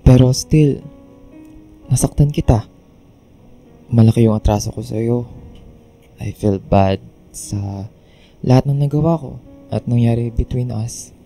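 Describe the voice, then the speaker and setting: A man playing a gentle, forgiving young man, soft and reassuring in radio drama dialogue. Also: mid-range voice, a little slow, creaky, pauses often, faint music behind. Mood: guilty.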